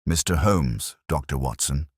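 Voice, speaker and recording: A man's voice: a bass voice, a little slow, slightly rough, dry recording, clean studio sound, heavily acted. A man voicing a guarded, courteous senior records official in an audiobook, choosing formal words with hesitant care. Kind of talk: audiobook character reading.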